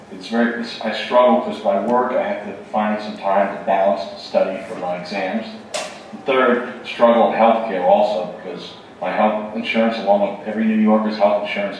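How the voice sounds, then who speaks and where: A man's voice: lowish voice, muffled recording, slight background noise. A man running for state assembly answers questions at a candidate forum in an earnest, emphatic way, sometimes restarting his sentences. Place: campus event hall. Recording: very echoey hall from far off.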